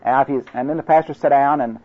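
A man's voice: mid-range voice, fairly even intonation, fast, slight background noise. An older man from Oklahoma with an Oklahoma accent, giving a folksy, joke-filled training talk to class instructors, rambling through anecdotes with many restarts. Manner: storytelling, joking, drawling, rambling restarts. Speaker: evangelistic crusade class instructor. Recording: dull lo-fi recording.

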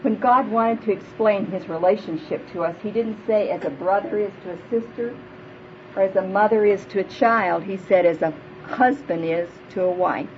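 A woman's voice: medium pitch, moderate pace. A woman in her fifties with a big voice, preaching earnestly to a women's church gathering and leading prayer. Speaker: speaker at a women's church retreat. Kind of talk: sermon. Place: hall before a live audience. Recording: dull lo-fi live recording with faint noise.

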